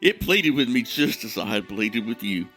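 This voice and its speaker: An actor playing an ashamed, grieving man who tells his tale with self-loathing and dramatic pauses. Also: lowish voice, expressive, sharp tone, soft background music. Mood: grim recounting. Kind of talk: dramatic fantasy monologue in audio drama.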